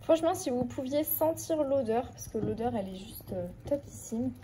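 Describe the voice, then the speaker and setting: A woman with a stuffy, hoarse voice from a cold, chatting casually in a book vlog and rambling with lots of hesitations. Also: high-pitched, animated, normal speed, mellow. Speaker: book vlogger.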